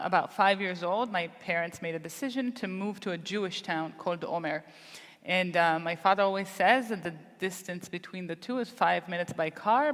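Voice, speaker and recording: A woman from the Negev in Israel, a physician speaking as a guest onstage, telling her story in a measured way with many fillers. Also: fairly low pitch, a bit bright, in a large hall. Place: large hall, live event.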